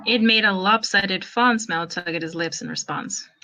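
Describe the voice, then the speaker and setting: A woman narrates a story in a bright, forward voice at a middle pitch. Her reading is expressive and wry, leaning into dramatic emphasis like a fan reading a favourite tale. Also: moderate pace, a little hiss or hum.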